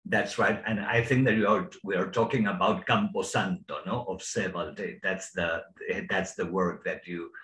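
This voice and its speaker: An older man interviewing an artist over a video call, asking friendly, halting questions. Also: low-pitched, steady tone, fairly bright tone. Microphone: video call webcam. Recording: echoey, distant mic.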